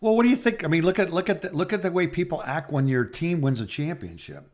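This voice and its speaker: A man chatting casually as a podcast co-host, rambling and halting as he searches for his words. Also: on the high side, lively intonation. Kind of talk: casual chat.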